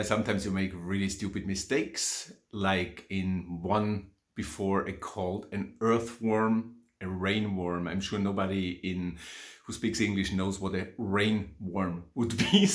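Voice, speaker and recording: Low-voiced Austrian man, reflective vlog. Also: reverberant. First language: German. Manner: rambling, reflective, laughs often, frequent ums. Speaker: spiritual vlogger and teacher. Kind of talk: unscripted one-take vlog monologue.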